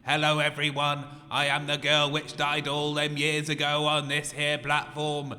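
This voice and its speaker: A man puts on the ghost of a dead girl for a comedy audio drama. It is high and bright, dim and plaintive, with rustic, mock-tragic storytelling in a long reverb. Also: monotone, measured pace. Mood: plaintive, woeful.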